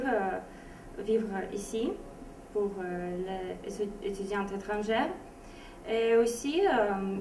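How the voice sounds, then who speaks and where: Young woman, halting second-language testimonial, slow pace, distant mic. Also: medium pitch, mellow. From Brazil. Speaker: language student. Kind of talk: spoken testimonial. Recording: roomy, distant mic, faint noise.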